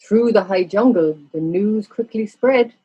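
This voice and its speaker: Husky woman narrating a children's story. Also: mid-range voice, unhurried, muffled recording.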